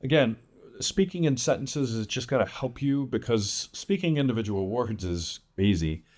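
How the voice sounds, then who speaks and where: A man giving an English pronunciation lesson as a teacher, enunciating example words with deliberate emphasis. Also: mid-range voice, expressive, darkish tone. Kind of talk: instructional lesson with demonstrated words.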